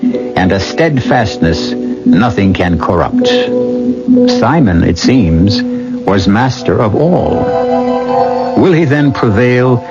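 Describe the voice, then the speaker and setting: A man hosting and narrating a radio drama in a grave, measured, rhetorical manner. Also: fairly low pitch, sing-song. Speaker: host and narrator. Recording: muffled vintage radio broadcast. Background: background music.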